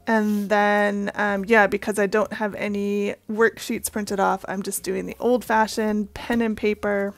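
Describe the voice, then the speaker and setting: A young woman from Canada talks through her monthly budget in a friendly, chatty way, dropping in soft ums as she writes. Her voice is gravelly and a little hoarse today, with a raspy edge. The sound is dry and close, with no room echo. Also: mid-range voice, flat delivery, a little slow, few pauses, faint music behind. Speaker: budgeting channel host. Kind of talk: casual talk-through while working on paper.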